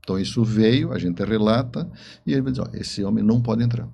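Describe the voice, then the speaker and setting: A man's voice: fairly low pitch, fast talker, creaky, dark tone, breathy edge, highs cut off. A man speaking as an interview guest, telling stories conversationally and repeating phrases for emphasis. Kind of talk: conversational storytelling.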